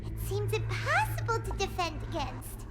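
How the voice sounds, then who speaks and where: A woman voicing a small, anxious, chatty fairy-like girl companion in a video game, squeaky and childlike, with expressive delivery. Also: normal speed, over loud music, heavily acted. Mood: unsettled. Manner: refers to herself by name, expressive. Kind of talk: video game character acting.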